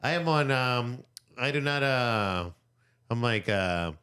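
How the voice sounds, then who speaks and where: A man's voice: mid-range voice, expressive, slow pace, bright, forceful tone, smooth. A man from Peru co-hosting a podcast, chatting casually and rambling with lots of fillers and restarts. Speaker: podcast co-host. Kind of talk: casual chat.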